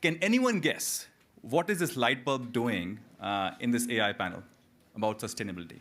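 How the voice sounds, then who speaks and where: A man's voice: medium pitch, expressive, moderate pace, a bit bright. A man moderating a panel discussion in an informal, conversational way.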